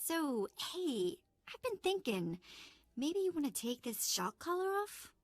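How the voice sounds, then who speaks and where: Lively, sardonic young woman. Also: high voice, a little slow, dry recording, full character voice. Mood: sarcastic coaxing. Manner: sarcastic, casual, teasing. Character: cheeky, sardonic young woman slave companion. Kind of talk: video game voice acting.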